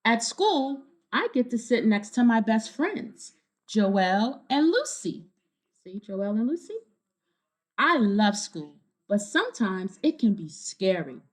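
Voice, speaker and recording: A woman reads a children's story aloud at an unhurried pace, with a very animated, swooping pitch. She stops to explain things simply and checks in with her young listeners.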